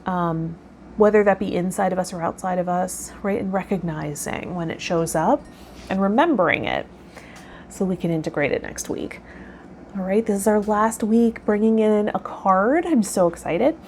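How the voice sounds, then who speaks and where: A woman's voice: medium pitch, moderate pace, darkish tone. A woman hosting a personal vlog, talking casually in a rambling, self-effacing way. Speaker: host of a tarot vlog. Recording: clear background noise.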